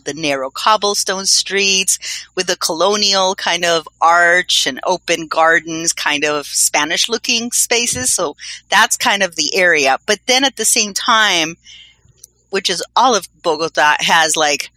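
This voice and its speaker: A woman's voice: fairly low pitch, unhurried, sharp tone, no echo, poor audio. A woman co-hosting a podcast, chatting in a rambling way with many fillers. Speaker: podcast co-host. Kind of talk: casual podcast chat.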